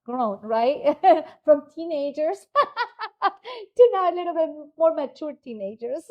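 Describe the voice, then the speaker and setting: A woman's voice: high voice, very animated, slow, lo-fi sound. A woman hosting an interview podcast, upbeat and chatty, who drops in Spanish words. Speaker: podcast host. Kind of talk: casual interview chat.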